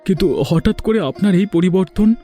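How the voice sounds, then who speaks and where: Male actor as poor villager, humble, raised pitch, deferential, cartoon dub, music behind. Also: fairly even intonation, brisk, warm tone, lightly acted. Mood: earnest, reassuring. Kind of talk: Bengali moral cartoon dubbing.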